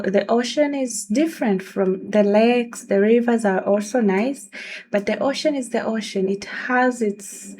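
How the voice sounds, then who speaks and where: A young woman from Tanzania with a Tanzanian accent and Swahili as her first language, talking casually and earnestly to camera with soft laughs. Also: mid-range voice, slight background noise. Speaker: vlogger.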